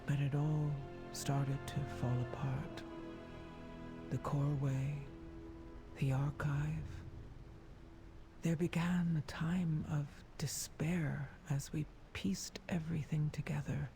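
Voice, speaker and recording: An earnest leader of a stone people in a fantasy game, heavy with feeling. She speaks slowly and with care, and music plays behind her. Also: low-pitched, level tone. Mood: somber reflection. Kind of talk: dramatic game voice acting.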